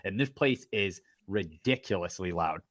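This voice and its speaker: A man's voice: low voice, lively intonation, moderate pace. A man co-hosting a casual fight-talk show, easygoing and upbeat. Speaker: co-host.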